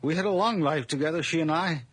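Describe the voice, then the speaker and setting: An actor playing a frail, world-weary and fearful old widower in a radio drama, with halting, sighing speech and a creaky rasp. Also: male voice, fairly high pitch, dry recording. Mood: wistful, resigned. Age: older.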